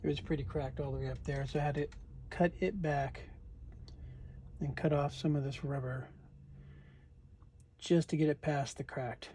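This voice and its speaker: A man narrating his radio repair in a cold home workshop, thinking aloud in a relaxed way and murmuring as he works. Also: fairly high pitch, moderate pace, pauses often. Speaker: hobbyist radio restorer. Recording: noisy workshop ambience. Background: steady background noise.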